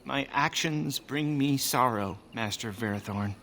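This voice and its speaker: An actor playing a meek, sorrowful young elven apprentice in a video game, hesitant and apologetic. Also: male voice, mid-range voice, unhurried, fairly bright tone. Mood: sheepish, sorrowful. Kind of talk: video game character dialogue.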